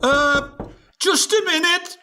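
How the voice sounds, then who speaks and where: A man playing a blustering, evasive jazz musician father in a comic radio play, theatrical and excitable. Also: extremely high pitch, slow pace, music in the background. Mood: flustered, evasive. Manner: theatrical, blustery, stammering when cornered.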